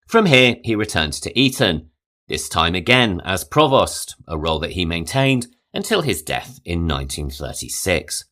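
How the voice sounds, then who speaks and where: A man hosting a podcast, reading a scripted history with measured delivery and quoted passages. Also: sits low. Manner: reads quotations aloud, measured and lively. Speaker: podcast host. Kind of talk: scripted narration.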